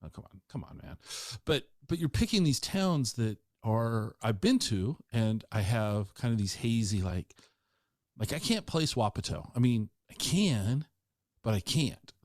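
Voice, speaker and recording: A middle-aged man hosting a podcast interview, chatty and teasing with animated asides. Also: mid-range voice, a little slow, no room sound, clean studio sound. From Washington State. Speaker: podcast host. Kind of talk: casual interview chat.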